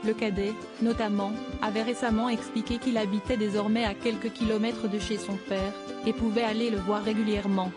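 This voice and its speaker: The monotone, synthetic-sounding woman you would hear reading out gossip articles on an automated slideshow video, music playing along behind her. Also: mid-range voice, a little fast, hardly any pauses. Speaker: narrator of a celebrity news video. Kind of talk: scripted narration. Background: background music.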